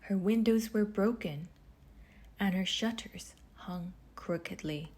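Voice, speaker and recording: A woman reading a children's storybook aloud in measured phrases with deliberate pauses, her husky voice turning breathy as phrases end. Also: medium pitch, background noise. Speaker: storybook reader. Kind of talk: children's read-aloud narration.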